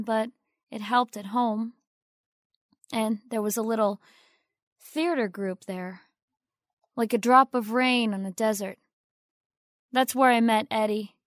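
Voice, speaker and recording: An actress playing a humble young theater fan in a radio drama, speaking in an earnest, deferential, hesitant way. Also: female voice, a little high, no echo, clean studio sound, close to an everyday voice.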